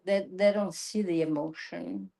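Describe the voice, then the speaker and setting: A woman with a slightly low voice talks in a casual group discussion. She repeats her phrases and slips into quoting people as she makes her point. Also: a little slow, creaky, mellow. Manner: repetitive, acts out quoted remarks.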